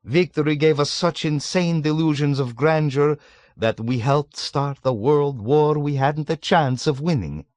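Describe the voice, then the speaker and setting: A man voicing a cynical, suave 107-year-old man who mocks with relish, pitched above his own voice. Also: measured pace, a little mellow, dry recording, natural voice. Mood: scoffing. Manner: suave, mocking, scoffing.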